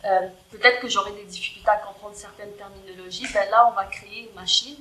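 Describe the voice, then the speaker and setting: A woman's voice: medium pitch, steady tone, fast, bright, forceful tone. Hesitant woman in a roomy space. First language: not French. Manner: hesitant, many fillers and restarts. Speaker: guest, EDI worker in tech. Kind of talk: casual interview talk. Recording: roomy with faint background noise.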